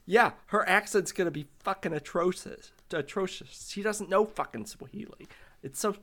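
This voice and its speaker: A man's voice: high-pitched, moderate pace. A man in casual chat, reviewing a movie in a profane, exasperated way. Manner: profane, exasperated, animated ranting.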